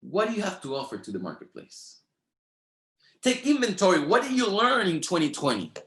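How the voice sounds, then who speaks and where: A man's voice: high-pitched, huge pitch swings, fairly quick, a bit bright, roomy sound. A man whose first language is Spanish, speaking with a Spanish accent and giving a motivational training talk in an emphatic, repetitive, preacher-like rhythm. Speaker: network marketing trainer.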